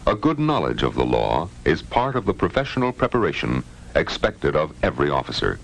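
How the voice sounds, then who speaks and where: A man's voice: rumbling low, wildly swooping pitch, brisk, creaky. A man reading scripted narration for a training film in a formal, authoritative manner. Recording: noisy 1960s training film soundtrack.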